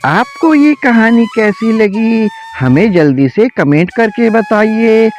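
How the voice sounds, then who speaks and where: Slow-paced, higher-pitched male storyteller. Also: big pitch swings. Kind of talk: scripted children's story narration. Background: soft background music.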